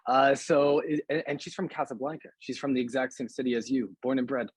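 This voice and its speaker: A man's voice: medium pitch, fairly even intonation, fairly quick, slightly rough, no echo. A man hosting an interview, chatty and friendly, with many ums and uhs. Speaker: podcast host, English teacher. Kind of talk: casual interview.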